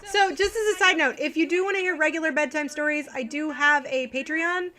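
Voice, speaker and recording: A woman's voice: sits high, a little fast, pushed and bright. A woman hosting a livestream, switching between informal chat with viewers and reading children's bedtime stories aloud. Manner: informal, chatty, addressing viewers directly. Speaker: livestream host.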